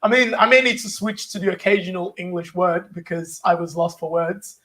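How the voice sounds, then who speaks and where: A man's voice: sits high, a little fast, pushed and bright. A young adult man from Nigeria, co-hosting a youth group chat in a playful, hesitant way with many restarts. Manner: hesitant, playful, self-deprecating, frequent restarts. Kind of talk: casual chat, playful game of two truths and a lie.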